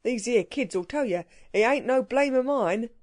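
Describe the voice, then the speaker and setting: A woman voicing a rough working-class male burglar, talking in slangy, grumbling dialect. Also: on the high side, lively intonation, unhurried, darkish tone, no echo, studio quality. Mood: wary.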